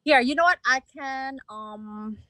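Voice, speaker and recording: High-pitched woman in casual guest chat. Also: unhurried, sharp tone, slight background noise. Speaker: guest event decorator and designer.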